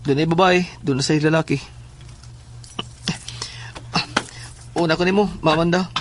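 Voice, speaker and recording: A man's voice: fairly high pitch, steady tone, quick pace, lightly acted. A man with a Filipino accent playing a secretive young man on the phone in an audio drama. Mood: evasive. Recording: clearly noisy track with clicks.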